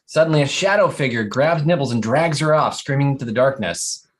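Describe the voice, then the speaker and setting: A man's voice: mid-range voice, fairly quick. Man reading stage directions, amused. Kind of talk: scripted narration at an animated comedy table read.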